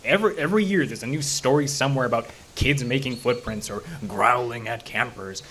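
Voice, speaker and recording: A man's voice: mid-range voice, fairly quick, fairly bright tone, slight background noise, lightly acted, rough recording. A man acting the part of a skeptical but curious park ranger in an audio drama, speaking conversationally with some hesitation. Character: level-headed, skeptical male park ranger with quiet curiosity. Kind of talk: naturalistic audio drama dialogue.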